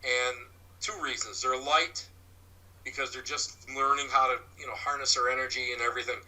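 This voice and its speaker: A man with a loud voice, answering interview questions about his paranormal work in a rambling way, full of restarts and fillers. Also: mid-range voice, little pitch movement, fairly quick, rough recording. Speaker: paranormal investigator and medium. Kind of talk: interview guest, casual explaining.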